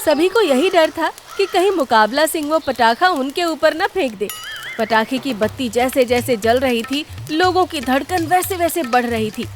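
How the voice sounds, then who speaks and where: A woman's voice: high voice, lively intonation, moderate pace, faint music behind. A woman narrating a scripted comic story for a cartoon, in the role of storyteller.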